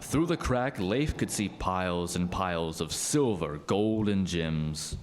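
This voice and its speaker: A man narrating a scripted radio play tale as an expressive, dramatic storyteller. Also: deep voice, slow, a little hiss or hum.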